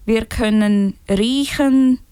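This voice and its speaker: A young woman speaking in a group, slow and halting with many fillers and restarts. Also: fairly high pitch, warm tone, clear, resonant tone, no echo. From Switzerland. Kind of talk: unscripted personal talk.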